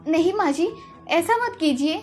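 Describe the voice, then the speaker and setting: A woman's voice: very high-pitched, lively intonation, a little slow, a little mellow, soft background music. A woman voicing a meek, anxious young daughter-in-law who speaks deferentially in a storybook tale. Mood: pleading, apologetic. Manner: pleading, deferential.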